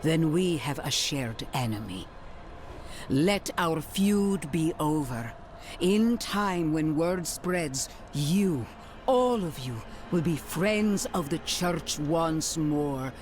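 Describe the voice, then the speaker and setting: A woman playing a stern, regal older church leader in a dark fantasy video game, speaking formally and deliberately. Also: sits low, lively intonation, a little hiss or hum. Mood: solemn, conciliatory. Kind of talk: dramatic video game acting. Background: cinematic background music.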